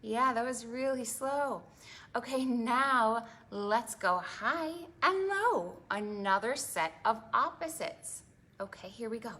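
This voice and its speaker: A woman hosting an interactive storytime for preschoolers, talking in an animated, exaggerated way to small children and asking them lots of questions. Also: a little high, slow pace. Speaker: children's librarian and storytime host.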